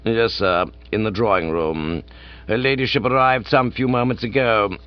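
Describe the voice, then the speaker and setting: A man voicing a deferential, unflappable English manservant in a comic audiobook, with formal, measured, understated speech. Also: on the low side, dull audio, lightly acted. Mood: grave, tactful.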